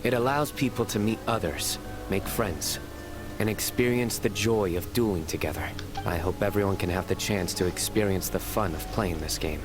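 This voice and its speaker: An actor playing a stern, measured male law enforcer with deadpan delivery in a video game. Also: low voice, music in the background, natural voice. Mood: solemn, earnest.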